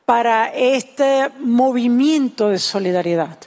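A woman's voice: on the high side, expressive, takes it slowly, darkish tone. A woman interpreting a sermon, delivering it consecutively in short phrases.

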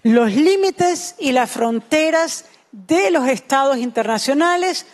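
Female interpreter, earnest, fluent, a bit high-pitched. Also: lively intonation, takes it slowly. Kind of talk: consecutive interpreting of a sermon.

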